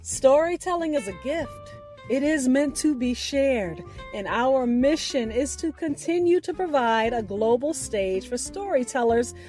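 Lady radio host, slow dramatic emphatic delivery, voice swoops around, bit of music under it. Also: high voice, expressive, warm tone. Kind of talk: scripted show introduction over music.

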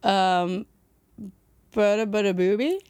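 A woman's voice: medium pitch, slow pace, a little mellow, clean tone, no room sound. A young woman with a non-native English accent co-hosting a podcast in a rambling, giggly, tipsy chat. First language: not English. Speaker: podcast co-host. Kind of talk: casual chat.

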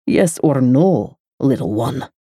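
Woman voicing a dark, possessive male lover, low slow murmur, mellow, dry, storybook audiobook. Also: animated, husky, no echo, heavily acted. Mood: grave, tender. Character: brooding possessive man, dark, ageless lover. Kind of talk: audiobook character voice.

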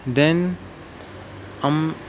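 Man, Amazigh speaker, very slow, halting speech full of restarts, faint hum of air conditioning behind. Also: a little high. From Morocco. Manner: hesitant, searching for words, many restarts. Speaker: vlogger. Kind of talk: casual spoken monologue to viewers.

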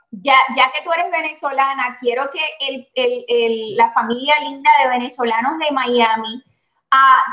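A woman's voice: high-pitched, sharp tone, echoey room, poor audio. A woman hosting a casual live stream. She chats animatedly, greets viewers by name and slips English words into her Spanish. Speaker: host of a live social media show.

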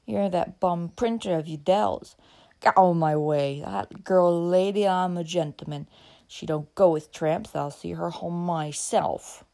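A swaggering drunk gentleman villain, performed by a woman narrator, slow and slurred. He blusters belligerently in a loud, creaky voice from an old-fashioned storybook. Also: on the low side, sing-song, exaggerated voice. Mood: angry, drunk.

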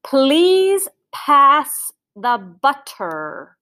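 A woman's voice: on the low side, takes it slowly, voice pushed down. Woman acting an irritable, demanding family father. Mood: loud, careful. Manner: loud, demanding.

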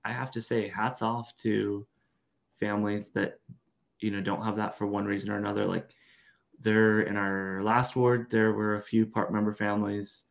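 A man with a low, gravelly voice co-hosts a casual podcast. He muses aloud and circles around his point, filling pauses with "like" and "um". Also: little pitch movement. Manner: thoughtful, rambling with many fillers. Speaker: podcast co-host.

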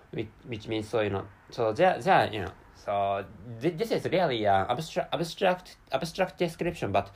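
A man speaking English with a Japanese accent in a solo spoken commentary. He talks haltingly, restarting phrases and filling gaps with "you know" as he searches for the right word. His voice sits at an ordinary middle pitch. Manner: halting, searches for words, many restarts and fillers. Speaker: podcast host.

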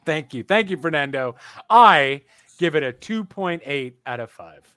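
A man's voice: fairly high pitch, expressive, unhurried, bright, forceful tone, no echo. Male podcast co-host, crude humor, joking delivery. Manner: crude, jokey, laughing. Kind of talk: casual podcast chat.

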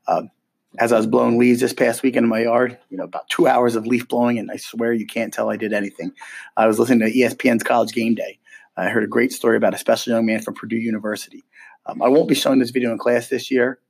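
A man who teaches middle school social studies gives families his weekly podcast update. He is hoarse from a cold and chats casually, with frequent ums and restarts. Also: medium pitch, level tone, quick pace, darkish tone.

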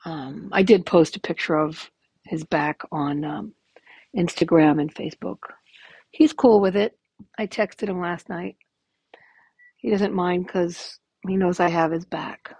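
A woman in her fifties with a raspy, gravelly, hoarse voice, talking candidly and rambling with lots of ums in a casual podcast update. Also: on the low side, measured pace, darkish tone, dry recording. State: hoarse from illness. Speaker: podcast host.

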